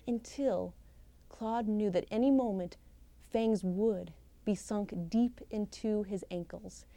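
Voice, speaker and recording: A woman performs a folktale as a practiced oral storyteller. Her voice is soft-toned and a little high, and she takes her time. She leans on key words with dramatic pauses, and the sound is dry and close. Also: no room sound. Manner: expressive, theatrical, with deliberate pauses and emphasis. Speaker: professional storyteller. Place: live storytelling session at a conference.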